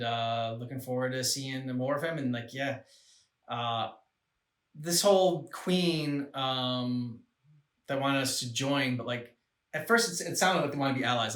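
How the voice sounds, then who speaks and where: A man with a bright, tense voice of middling pitch, talking casually as a reaction co-host. He feels his way through his thoughts in a halting manner. He sounds set back from the microphone in an echoey room. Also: distant mic. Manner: halting, thinking aloud. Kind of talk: casual chat, reacting to game cutscenes.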